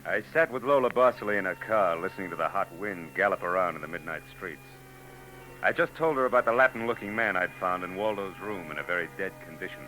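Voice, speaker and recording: A man's voice: low voice, fast, bright, forceful tone, faint music behind, rough recording. An actor playing a hard-boiled male private eye who narrates and trades wisecracks, in a 1940s radio drama. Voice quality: dry, wisecracking. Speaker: narrator and lead. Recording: old radio broadcast tape with faint noise.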